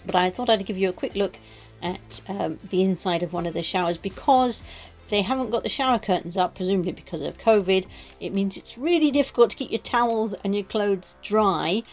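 A woman vlogger talking casually to camera, practical and chatty, pausing often with "uh". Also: mid-range voice, faint music behind. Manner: chatty and practical, with many "uh" pauses. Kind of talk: casual vlog narration. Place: campsite shower block, indoors.

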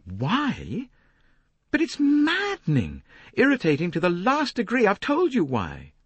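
A man voicing an impatient, indignant wealthy young married woman with a sharp, agitated manner in an audiobook. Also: sits high, wildly swooping pitch, normal speed, breathy edge, dry recording, highs cut off, voice pushed up, exaggerated voice.

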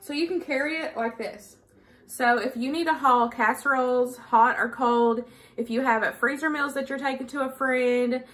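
A woman's voice: on the high side, fairly bright tone. A woman, chatting casually and informally to camera as a vlogger. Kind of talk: casual chat to camera, product review and haul. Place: living room at home.

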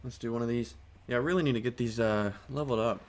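A man with a put-on British accent, giving casual, excitable commentary over his own gameplay and swearing as he reacts. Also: medium pitch, quick pace. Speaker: gaming channel host.

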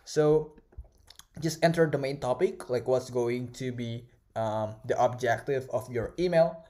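A man whose first language is Indonesian, teaching a screen-recorded course in a relaxed way with many fillers and "right?" tags. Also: mid-range voice, unhurried. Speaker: course instructor. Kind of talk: casual screen-recorded tutorial. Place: at a computer, voice-over of screen recording.

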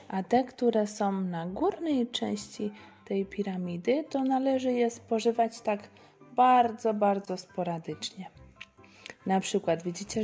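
A woman's voice: mid-range voice, dark tone, husky. A woman giving an explanatory voice-over lesson for children.

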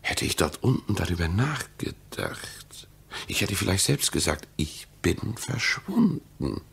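An actor playing an entranced, secretive husband in a radio play, with a husky, breathy, gravelly voice. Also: male voice, sits low, big pitch swings, measured pace, fairly bright tone, heavily acted. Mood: rapt, secretive. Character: entranced, secretive husband back from an underground palace.

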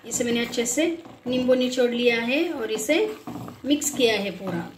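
Female home cook, high voice, simple step-by-step recipe narration, echoey kitchen. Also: normal speed, background noise. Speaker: home cook, cooking show host. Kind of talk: casual recipe demonstration. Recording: echoey room.

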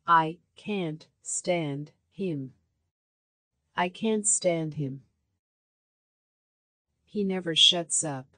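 A woman reading short practice phrases for a language course, enunciating each one clearly and repeating it. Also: lowish voice, a bit bright, no echo.

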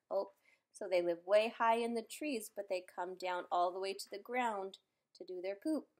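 A woman reading a children's picture book aloud, adding playful, expressive asides for young listeners. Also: medium pitch. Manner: playful, expressive, simple child-directed delivery with exclamations. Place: indoors in a room at home.

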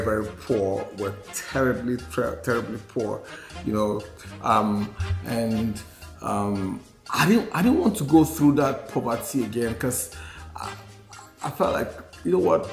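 A man's voice: medium pitch, creaky. A man in his forties with a Nigerian accent tells his personal story candidly on a podcast, reflectively, repeating phrases for emphasis. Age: 40s. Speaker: podcast co-host. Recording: roomy sound with faint music. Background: faint music.

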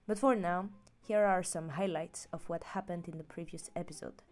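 A woman's voice: lowish voice, steady tone, normal speed, darkish tone. A woman host reading a scripted welcome intro in a friendly way. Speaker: podcast host.

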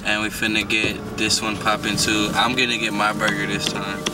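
A man's voice: on the low side, little pitch movement, normal speed. Young American man, distant in a noisy car. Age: young adult. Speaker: prankster vlogger. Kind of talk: casual prank video chat. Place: inside a car at a drive-thru. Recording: distant in-car camera, loud vehicle noise.

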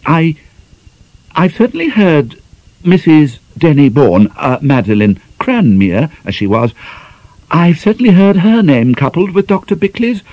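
A man voicing a flustered, tactful middle-aged lady in an audiobook, halting and apologetic. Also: fairly high pitch, sing-song, no room sound, muffled recording, pitched above the performer's own voice. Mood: awkward tact.

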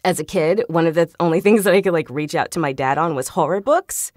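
A woman talking casually and chattily as an interview guest, telling stories in an animated way. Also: on the low side, quick pace, no echo, studio quality. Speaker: podcast guest, video game voice actor.

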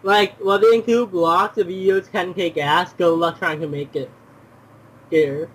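A man's voice: sits high, fairly even intonation, measured pace, a bit bright, husky, rough recording. A young man chatting casually during an online game, often repeating himself and exclaiming. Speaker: gamer.

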